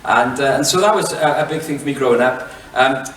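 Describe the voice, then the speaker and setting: A man's voice: medium pitch, a little fast, fairly bright tone, slight background noise. Welshman talking in an echoey hall. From Wales. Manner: chatty, self-mocking, many fillers and restarts. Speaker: head teacher giving a talk. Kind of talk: relaxed public talk with jokes to the audience. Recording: echoey hall, mic from far off.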